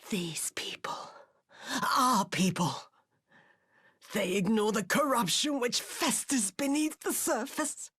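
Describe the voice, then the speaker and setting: An actress playing a bitter, coldly mocking, vengeful dying villainess in a video game cutscene, breathy and languid, savouring each phrase. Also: female voice, on the high side, takes it slowly, a bit bright, dry recording, studio quality, exaggerated voice. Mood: cold contempt. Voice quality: breathy, husky.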